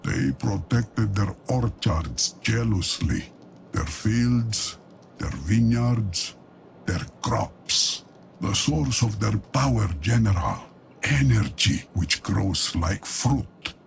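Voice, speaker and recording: An actor playing an ailing, cunning old deposed patriarch in a game cutscene, grave and measured, with a gravelly voice. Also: male voice, fairly low pitch, lively intonation, a little hiss or hum, heavily acted. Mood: solemn, ominous. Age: older. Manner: grave, measured, deliberate pauses. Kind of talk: dramatic game cutscene monologue.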